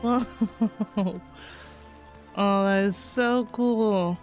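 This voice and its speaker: Unhurried woman streamer reacting to a game. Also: mid-range voice, fairly even intonation. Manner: giggly, exclamatory, swears casually. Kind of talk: casual live game reaction commentary. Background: soft game music.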